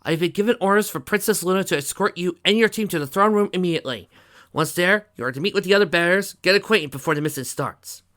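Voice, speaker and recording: Reader doing a bat pony guard lady, formal n official, bright firm voice. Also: mid-range voice, quick pace, pitched above the performer's own voice, close to an everyday voice. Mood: businesslike.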